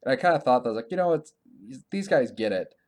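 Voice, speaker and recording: Male podcast co-host, average pitch, loose conversational delivery, tentative and thinking aloud. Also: lively intonation, quick pace. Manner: informal, hedging, thinking out loud. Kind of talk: casual podcast chat about games and films.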